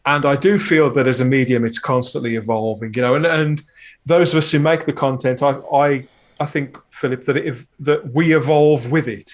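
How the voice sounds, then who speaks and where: A man's voice: medium pitch, normal speed. A man chatting casually as a podcast guest, effusive and rambling as he talks. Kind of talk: casual chat.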